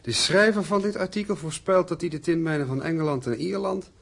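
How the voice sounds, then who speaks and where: A man acting in a radio drama as an earnest, wistful, worried husband and heir, with a husky voice and brooding, expressive delivery. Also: on the high side, a little fast. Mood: concerned.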